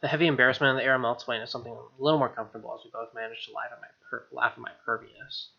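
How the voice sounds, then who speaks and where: A man's voice: mid-range voice, fairly even intonation, racing, vocal fry, slightly rough. A young man reading game text aloud as a let's play host, with irreverent, slangy commentary. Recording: dull lo-fi mic, music behind. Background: game music with guitar.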